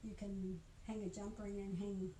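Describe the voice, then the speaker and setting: An older woman giving an informal craft demonstration in a chatty, halting way, often stopping to rephrase. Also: mid-range voice, flat delivery, warm tone. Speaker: craft instructor. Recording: roomy echo, faint background noise.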